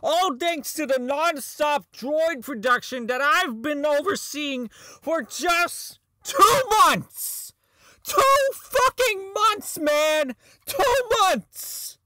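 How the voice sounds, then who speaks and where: Man acting a high-voiced, bitter, unraveling engineer. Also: expressive, drawn-out words, sharp tone, no echo, heavily acted. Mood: frantic, overwhelmed. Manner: rambling, stammering, profane, prone to outbursts. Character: socially inept, bitter male robotics engineer unraveling toward insanity. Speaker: voice actor in a fan-made audio drama. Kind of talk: dramatic monologue as a recorded log.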